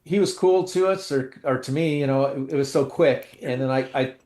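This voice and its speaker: A man's voice: mid-range voice, normal speed, a little mellow, echoey room. A man co-hosting a casual chat, telling rambling, self-deprecating stories with lots of restarts. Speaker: co-host.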